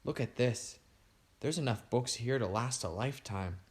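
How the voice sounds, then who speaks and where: A man reading aloud, voicing a calm young princess who works as a detective. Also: medium pitch, normal speed, lightly acted. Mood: fond.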